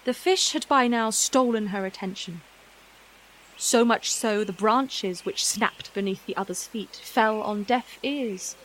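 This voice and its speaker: A woman's voice: medium pitch, a little slow, a bit bright. Woman narrating a fairy tale, noisy background. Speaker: storyteller narrator.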